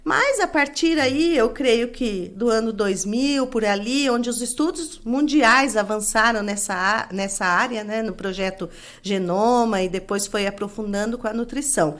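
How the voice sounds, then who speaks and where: A woman's voice: fairly high pitch, fairly bright tone. A middle-aged woman hosting an academic interview as a nutrition professor, chatty, with frequent tag questions. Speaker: host, nutrition professor and course coordinator.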